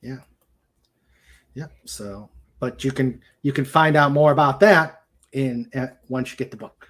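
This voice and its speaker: A man chatting casually as co-host of a livestream, halting and often searching for his words. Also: mid-range voice, fairly bright tone.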